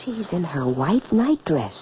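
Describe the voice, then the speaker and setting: An actress playing a worried, drowsy young brother in a 1940s radio drama. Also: female voice, lowish voice, sing-song, a little slow. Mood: uneasy. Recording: very noisy, muffled old radio recording.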